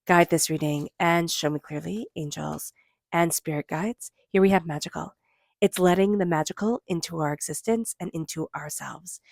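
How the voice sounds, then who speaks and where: A woman with a stuffy cold giving a spiritual tarot reading, gentle and meandering, slowing into soft chanted invocations. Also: fairly low pitch, creaky, no echo, clean studio sound. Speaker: tarot reader.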